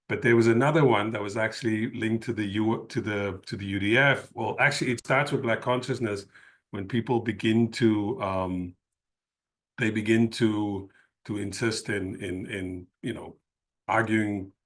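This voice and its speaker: Low-voiced man, halting. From South Africa. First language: Afrikaans. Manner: thoughtful, halting, with frequent restarts. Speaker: panelist. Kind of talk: academic panel discussion.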